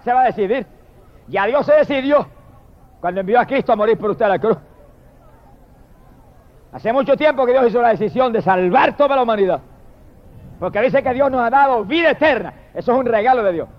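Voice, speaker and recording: A man preacher delivering a fervent evangelical sermon in an emphatic, declamatory way, drawing out exalted phrases and addressing listeners directly. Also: very high voice. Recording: dull lo-fi sermon recording.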